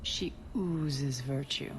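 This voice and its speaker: Low-voiced, haughty alien crime queen. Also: noisy background, full character voice. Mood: cold, sardonic. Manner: haughty, deliberate, sardonic. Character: ruthless, imperious alien female crime queen, cold and commanding. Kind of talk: video game voice acting.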